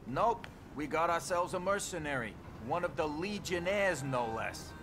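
A man's voice: expressive, normal speed. An actor playing a nervy, talkative young soldier who eagerly shows off what he knows, in a video game. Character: chatty, nervy, know-it-all young soldier. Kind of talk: video game military comedy. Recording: music and helicopter rumble behind.

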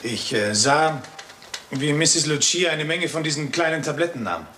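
An actor playing a hesitant, deferential household witness in a German radio crime drama, halting and evasive. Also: male voice, on the high side, lively intonation, pushed and bright, a little hiss or hum. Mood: nervous, reluctant.

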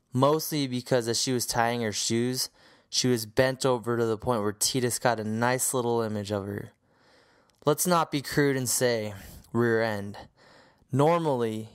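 A man's voice: mid-range voice, clean tone. A man narrating a scripted story with wry humor as the narrator.

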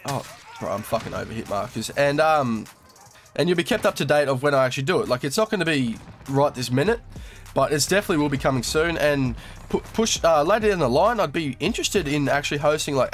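A young Australian man talks over his own gameplay, crude and relaxed, swearing freely. His voice is a little nasal from a cold. There is a faint music bed underneath. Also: medium pitch. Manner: crude, swearing, laid-back slang. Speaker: gaming YouTuber. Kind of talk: casual commentary over gameplay.

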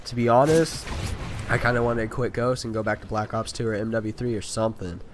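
A stuffy, nasal man who is sick talks in a rambling, filler-heavy way. His voice sits a little low, and loud game gunfire plays clearly underneath it. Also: fairly even intonation, a little fast, noisy background. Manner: rambling, talkative, frequent fillers. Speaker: gaming video creator. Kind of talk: casual gameplay commentary. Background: video game gunfire and sound effects.

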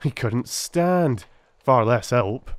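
A male reader puts on a plain-spoken police constable in a dramatic audiobook. He is touchy and blunt, talking colloquially with an aggrieved air and lively swings of pitch, recorded dry. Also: takes it slowly, dry recording. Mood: amused.

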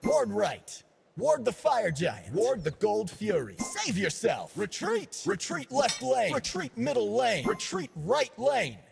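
An actor playing a cocky, laid-back young dancer and DJ in a video game, with swaggering party slang and a gravelly voice. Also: male voice, high-pitched, wildly swooping pitch, slow pace, soft background music, full character voice. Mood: energetic, urgent. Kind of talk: video game character voice lines.

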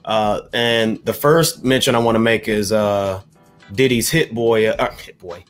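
A man's voice: lowish voice, a little slow, smooth. Laid-back man over faint music. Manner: laid-back, frequent "yep" and "uh". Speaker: podcast co-host. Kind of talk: casual hip hop podcast chat.

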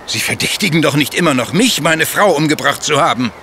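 Forceful, defensive gentleman widower. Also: a little high, animated, fairly quick, a little hiss or hum. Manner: formal, insistent. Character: self-assured, defensive gentleman widower under suspicion. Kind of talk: German radio play acting.

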